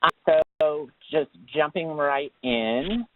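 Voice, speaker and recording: A man giving a semi-scripted informational webinar presentation, phrasing things formally and pausing as he goes. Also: a little high, lively intonation, no echo. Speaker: nonprofit program manager as webinar speaker. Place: remote webinar call. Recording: dull lo-fi call audio, very dry.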